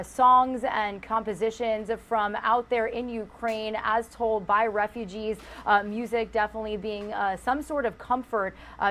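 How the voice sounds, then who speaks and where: A female news anchor with a fairly high voice ad-libs a segment on live television. Her delivery is loose and full of hesitations. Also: a bit bright. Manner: loose, many "uh" fillers. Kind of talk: live news presentation, ad-libbed.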